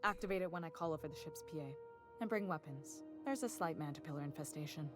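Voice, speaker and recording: Woman playing a dry, low-pitched spaceship boss. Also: fast, natural voice. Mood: dry, businesslike. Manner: dry, matter-of-fact. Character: brisk, wry woman boss aboard a spaceship, giving orders. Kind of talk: video game dialogue acting. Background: music.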